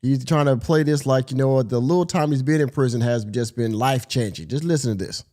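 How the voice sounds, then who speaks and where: A man's voice: medium pitch, brisk, mellow. A man with a cold, sounding nasal, casually hosting a livestream and talking loosely to his viewers. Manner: loose, rambling, talks to viewers. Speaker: podcast host.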